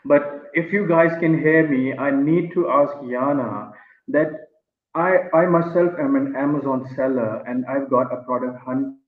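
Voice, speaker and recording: A man's voice: mid-range voice, a little slow. A man asking a question over a video call with a shaky internet connection, eager in tone. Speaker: audience member asking questions. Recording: tinny echoey call audio.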